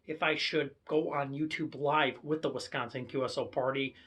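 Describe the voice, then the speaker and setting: A man's voice: medium pitch, steady tone, moderate pace, fairly bright tone, slightly rough. A man with an American accent casually presenting a hobby vlog, walking viewers through equipment. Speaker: amateur radio hobbyist presenter.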